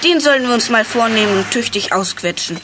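A woman as a cheeky, high-voiced schoolkid. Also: animated, fairly quick, background noise, lightly acted. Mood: eager, feisty. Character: cheeky, bold schoolchild, the principal's kid. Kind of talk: children's radio play acting.

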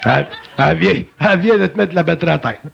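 A man's voice: a little high, animated, fast talker, vocal fry, muffled recording, a little hiss or hum. A man with a Québécois French accent, giving emphatic, colloquial spiritual talk in a philosophical conversation. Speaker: spiritual speaker.

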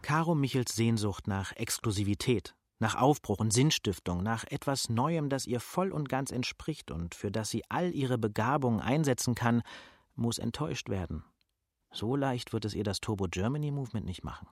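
An actor as a wry, composed narrator of a radio play, speaking in a measured literary way with a husky voice. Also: male voice, mid-range voice, lively intonation, no room sound, studio quality.

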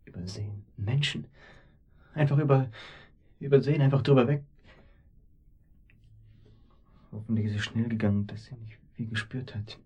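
An actor playing a distraught, guilt-ridden man in a radio drama, speaking haltingly and often in a hushed voice. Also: mid-range voice, husky, highs cut off, lightly acted. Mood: stunned, hushed. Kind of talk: naturalistic radio drama acting.

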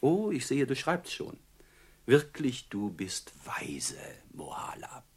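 An actor playing a mocking, falsely courteous bandit chief in a German radio play, speaking with theatrical, oily politeness. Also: male voice, mid-range voice, wildly swooping pitch, slow pace, slightly rough, heavily acted. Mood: falsely courteous, gloating.

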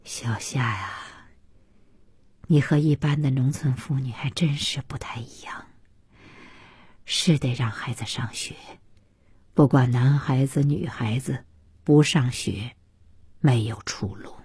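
Audiobook role: kindly older father played by a woman, breathy and low, gently inquisitive. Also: takes it slowly, long pauses, no room sound, heavily acted. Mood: gentle, inquiring. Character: Xiaoxi's father, a kindly, curious older man.

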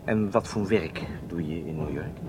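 A man's voice: deep voice, moderate pace, close to an everyday voice. A man playing a courteous, sociable secret agent on a train in a radio drama, making polite, slightly hesitant small talk. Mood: curious, tentative. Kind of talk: Dutch radio drama acting. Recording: noisy train compartment ambience.

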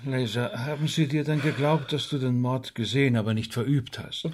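An actor playing a blunt, sceptical rabbi in a radio drama, with a husky voice. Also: male voice, medium pitch, steady tone, normal speed, natural voice. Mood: questioning.

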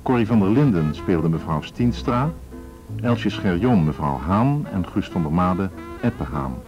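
Low-voiced male radio announcer over music. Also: animated, measured pace, a little mellow. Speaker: announcer reading cast credits. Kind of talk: formal scripted radio announcement. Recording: dull, lo-fi radio recording.

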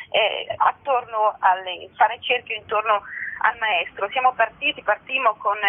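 A woman being interviewed on radio as a festival director, with formal, literary wording and halting, repeated phrases. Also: fairly high pitch. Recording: narrow tinny phone line on radio.

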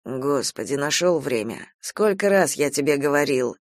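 A man's voice: on the high side, moderate pace, dry recording, studio quality, pitched below the performer's own voice, close to an everyday voice. A man voicing a weary, well-off uncle who grumbles in a restrained, reproachful way. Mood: irritated.

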